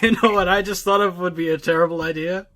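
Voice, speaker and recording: A man's voice: high-pitched, steady tone, quick pace, pushed and bright. A man co-hosting a casual, conversational chat about video games. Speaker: podcast co-host.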